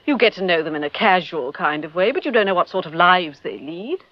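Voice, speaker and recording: An actress playing a brisk, indignant nightclub manager, articulate and defensive in an old radio drama style. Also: female voice, fairly low pitch, expressive. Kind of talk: mid-20th-century BBC radio drama acting. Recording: dull vintage radio recording.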